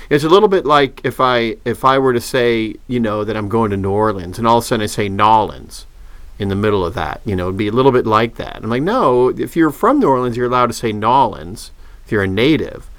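A man's voice: mid-range voice, a little fast, a bit bright, no room sound. A middle-aged man co-hosting a podcast, chatting casually and rambling through stories with many restarts. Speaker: podcast co-host. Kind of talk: casual chat.

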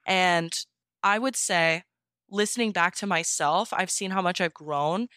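A woman's voice: fairly low pitch, measured pace, pushed and bright, clear, resonant tone, no room sound, clean studio sound. A woman talking casually and enthusiastically as an interview guest, in an informal, encouraging way. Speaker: podfic narrator being interviewed.

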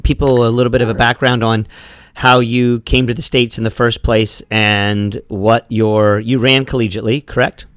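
A man in his forties hosts a podcast with easy, joking banter. His voice sits at an ordinary pitch and sounds close and dry, with no room around it. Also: little pitch movement, normal speed, a bit bright, clean tone, no room sound. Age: 40s. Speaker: podcast host. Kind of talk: casual podcast chat.

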